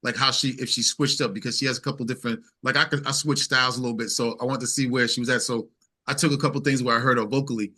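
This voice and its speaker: A man's voice: medium pitch, steady tone, rapid-fire, sharp tone. A man speaking as a musician guest in casual conversation, explaining things and checking for agreement.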